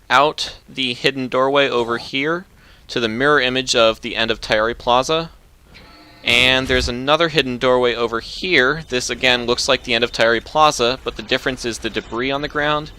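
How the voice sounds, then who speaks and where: A man narrating a video game walkthrough in a relaxed, instructive, matter-of-fact way. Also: medium pitch, clear, resonant tone. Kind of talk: casual gameplay commentary.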